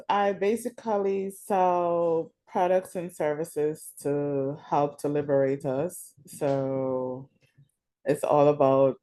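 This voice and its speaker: A low-pitched woman with a Jamaican accent introduces her wellness business on a networking video call. Her voice sits deep for a woman, and she talks in an unhurried, lively way.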